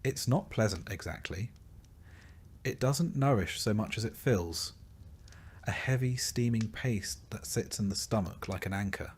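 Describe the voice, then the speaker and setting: A man's voice: fairly low pitch, unhurried, husky. A man narrating a scripted story in the second person with a dry, wry delivery.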